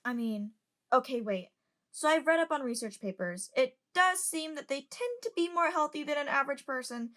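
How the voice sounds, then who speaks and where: A woman's voice: sits high, animated, moderate pace, a bit bright. A woman in an amateur audio drama playing a curious, outspoken young passenger who chats and lectures. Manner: chatty, lecturing.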